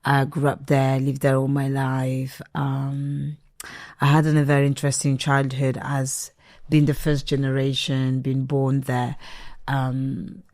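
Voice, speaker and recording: A woman from northern Italy, a podcast guest, talking about herself in a reflective, unhurried way with frequent pauses and ums. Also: extremely low pitch, completely flat delivery, darkish tone, no echo, clean studio sound. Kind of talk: interview conversation.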